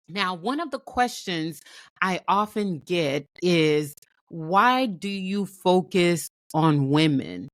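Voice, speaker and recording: Woman from haiti hosting her show, slow and unhurried, kinda low voice, repeats herself for emphasis. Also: no room sound, studio quality. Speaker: host. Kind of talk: reflective storytelling monologue.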